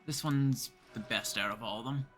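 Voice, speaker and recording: A man's voice: mid-range voice, moderate pace, fairly bright tone, soft background music. A man from Canada casually commentating as he livestreams a game, thinking aloud in short remarks. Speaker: livestreaming gamer.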